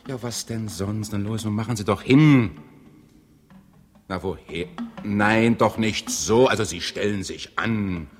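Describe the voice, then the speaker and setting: A man's voice: on the low side, unhurried. An actor playing a bossy, impatient man in an experimental radio play, with a brusque and erratic delivery. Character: bossy, impatient man barking gruesome instructions. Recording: long reverb, music behind.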